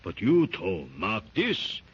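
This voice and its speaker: A greedy husky hired gun. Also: male voice, on the low side, wildly swooping pitch, slow pace, a bit bright, heavily acted. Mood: gruff. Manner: sly, probing, drawling with many fillers. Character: greedy rough male hired hunter, mercenary. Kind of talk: 1930s radio drama acting. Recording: muffled 1930s radio recording.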